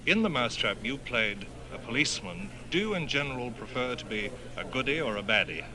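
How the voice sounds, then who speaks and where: A man's voice: medium pitch, lively intonation, fairly quick. A man with an English accent asking short, orderly questions as a broadcast interviewer. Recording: murmuring crowd behind interview.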